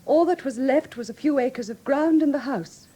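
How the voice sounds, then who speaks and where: A woman with an English received pronunciation accent playing a frightened, grieving young gentlewoman who speaks formally and earnestly in a classic radio drama. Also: sits high, normal speed, close to an everyday voice. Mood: grave, troubled. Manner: formal, earnest, precise storytelling.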